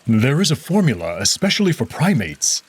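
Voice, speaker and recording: A man voicing a gentle, devoted male wolf nobleman who speaks softly and formally. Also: mid-range voice, sing-song, fairly quick, slightly rough. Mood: tender. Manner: soft-spoken, caring, formal.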